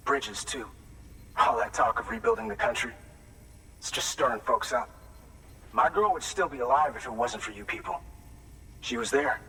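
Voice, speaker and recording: An actor playing a bitter, distrustful junk dealer in a video game, gruff and quick to swear. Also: male voice, medium pitch, distant mic, noisy background. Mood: bitter, accusing. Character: bitter, foul-mouthed junk dealer, distrustful grieving man. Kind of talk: video game cutscene acting.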